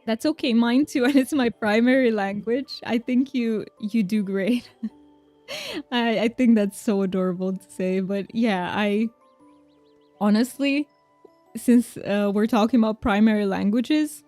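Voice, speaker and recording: A woman's voice: a little high, unhurried, darkish tone, no room sound, soft background music. A young woman whose first language is Romanian, chatting casually and reassuringly to viewers while playing a game, laughing often. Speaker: streamer. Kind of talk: casual game stream chat.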